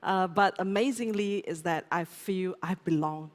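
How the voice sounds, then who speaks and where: A woman from Malaysia, a native Minnan speaker and musician, talking as a panel guest in a halting way with many fillers. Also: mid-range voice, long reverb tail. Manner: hesitant, filler-heavy, heartfelt. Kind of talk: panel discussion.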